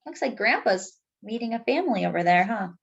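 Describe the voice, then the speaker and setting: Female children's story reader, high voice, highly animated melody, deliberate phrasing with conversational asides. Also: vocal fry, slightly rough, lo-fi sound. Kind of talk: expressive picture book read-aloud.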